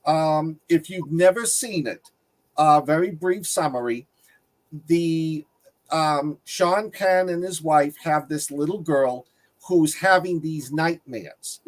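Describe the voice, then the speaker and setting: Man, higher-pitched voice, casual panel chat, film review co-host. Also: takes it slowly, rough recording.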